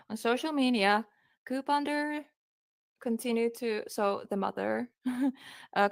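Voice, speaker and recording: Young woman with Finnish accent, true crime streamer; slow, hesitant delivery, crisp tone, dry room. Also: medium pitch, dry recording. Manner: hesitant, many ums, reads quotes aloud. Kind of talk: casual live-stream case reading.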